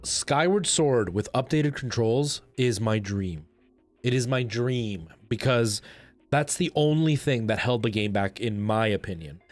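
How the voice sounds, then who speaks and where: A man's voice: medium pitch, animated, a little slow. A man chatting casually and enthusiastically with viewers as he hosts a video game livestream. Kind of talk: casual livestream chat while playing a game.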